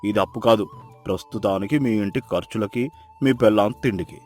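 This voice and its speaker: Man acting a low-voiced, sarcastic village moneylender. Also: a little fast, faint music behind, natural voice. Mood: gruffly generous. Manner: sarcastic, teasing, blunt. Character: sarcastic, mocking, secretly kind-hearted male village moneylender. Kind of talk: dramatized moral story acting.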